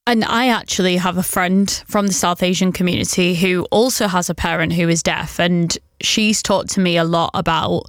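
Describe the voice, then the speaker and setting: A woman interviewer asking gentle, measured questions. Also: on the low side, fairly even intonation, no echo, studio quality.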